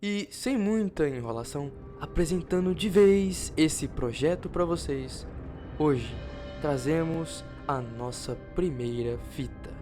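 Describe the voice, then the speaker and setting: A man's voice: on the high side, lively intonation, slow pace, darkish tone. A man with a Brazilian accent doing informal video narration as a channel co-host. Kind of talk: informal video narration about analog horror. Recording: music behind the voice. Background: background music.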